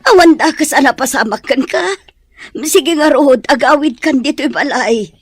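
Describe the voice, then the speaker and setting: A woman's voice: high-pitched, lively intonation, normal speed, dry recording, faint music behind. A woman playing a loving, worried mother in an Ilocano drama, with an Ilocano accent. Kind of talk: Ilocano radio-style melodrama acting.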